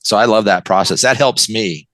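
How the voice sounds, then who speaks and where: A man's voice: fairly low pitch, moderate pace, a bit bright, no echo. An American man from the West Coast, an author chatting casually as an interview guest, telling anecdotes in a conversational way. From West Coast of the United States. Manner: conversational, anecdotal, checks in with "right?" and "you know".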